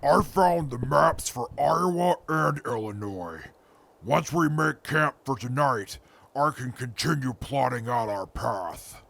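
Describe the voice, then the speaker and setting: A man's voice: medium pitch, lively intonation, slow, fairly bright tone, full character voice. A man playing a stern, wary scout in a post-apocalyptic audio drama, with clipped, formal and cautious phrasing. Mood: wary, grave. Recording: clear background ambient noise.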